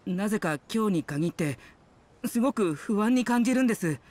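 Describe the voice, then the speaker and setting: Anxious, deferential young retainer. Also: male voice, sits high, animated, normal speed, a little mellow. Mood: worried. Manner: humble, very polite, apologetic. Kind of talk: Japanese game dub acting.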